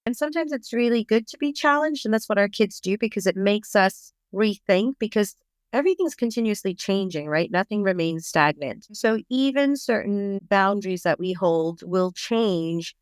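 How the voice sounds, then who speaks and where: A middle-aged woman from Bangladesh, a parenting coach, speaking as a podcast guest in a reflective, measured way. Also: mid-range voice, expressive, clear, resonant tone, no room sound. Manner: reflective, measured, thoughtful explaining. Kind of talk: podcast interview.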